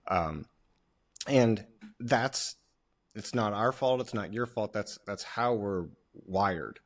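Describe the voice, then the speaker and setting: Deep-voiced man, measured podcast co-host. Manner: measured, aphoristic, reflective. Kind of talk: casual podcast talk.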